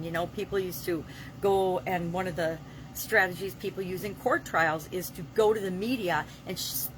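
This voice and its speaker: Over clear, vehicle-like background noise, a woman speaks in a slightly low voice. She talks off the cuff like a motivational coach, stressing her opinions and repeating phrases to drive them home. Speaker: vlog host and business coach. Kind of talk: unscripted motivational vlog talk.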